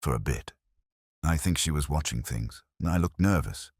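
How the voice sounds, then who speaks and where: A man voicing a lively, composed nineteen-year-old female florist's assistant in a mystery story, casual and matter-of-fact. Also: a bass voice, normal speed, slightly rough, no echo, clean studio sound, heavily acted.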